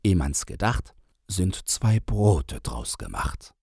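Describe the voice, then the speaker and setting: A man narrating a storybook in verse as an audiobook reader, measured and with dramatic pauses. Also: low-pitched, sing-song, creaky, darkish tone, slightly rough, dry recording, studio quality.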